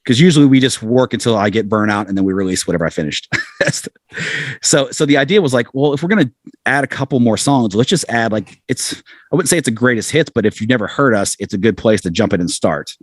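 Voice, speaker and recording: A man's voice: mid-range voice, animated, fast talker, no room sound, clean studio sound. A middle-aged American man talking casually as a musician being interviewed, rambling along in a self-deprecating way. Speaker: musician guest, band frontman.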